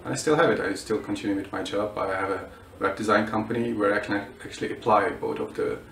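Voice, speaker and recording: Low gravelly man, echoey room. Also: quick pace, a bit bright, slight background noise. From Bulgaria. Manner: hesitant, many fillers and restarts. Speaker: student. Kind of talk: interview answers. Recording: roomy echo, mic at a distance.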